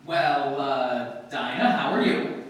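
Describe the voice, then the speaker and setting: A distant, mid-pitched male voice in a big echoing space, an actor as a wry house guest in a radio play. He speaks slowly and sheepishly, hungover but still joking. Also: lightly acted. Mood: forced cheer. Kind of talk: 1940s radio play acting. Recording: distant, echoey hall, faint noise.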